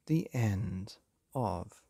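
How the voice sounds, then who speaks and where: A man reading a bedtime story expressively, in a soft, hushed, deliberate manner with dramatic pauses and a breathy, gravelly voice. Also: on the low side, lively intonation, no room sound, studio quality. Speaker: podcast host and storyteller.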